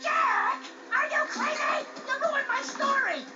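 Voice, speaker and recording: A man voicing a small, boastful, excitable male animal sidekick in a video game cutscene, telling things in a brash, theatrical way. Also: very high voice, a little slow. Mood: panicked, outraged. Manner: brash, bragging, theatrical storyteller. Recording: dull game audio under music.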